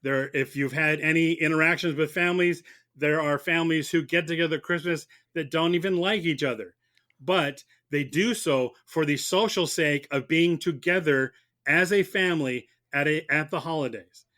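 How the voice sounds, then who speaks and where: A man arguing as prosecutor in a mock courtroom debate, speaking haltingly and repeating words as he makes his points. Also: fairly high pitch, sharp tone. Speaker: guest debater playing prosecutor.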